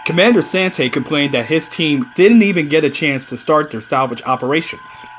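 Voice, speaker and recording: A woman's voice: low-pitched, lively intonation, normal speed, slight background noise. A woman playing a dutiful starship sensor officer giving reports in a sci-fi audio drama. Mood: businesslike.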